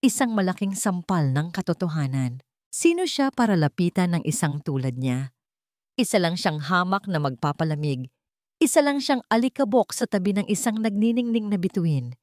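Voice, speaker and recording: Woman, Filipino accent, lowish pitch, dramatic story narrator, close dry recording. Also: expressive, moderate pace, darkish tone, no room sound.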